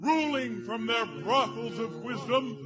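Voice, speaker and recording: Man performing as a grandiose fantasy storyteller, very high voice, slow theatrical delivery, audio drama, muffled lo-fi sound. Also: music in the background. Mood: brooding, ominous. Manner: theatrical, bombastic, drawn-out delivery. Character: grandiose storyteller of a dark barbarian legend.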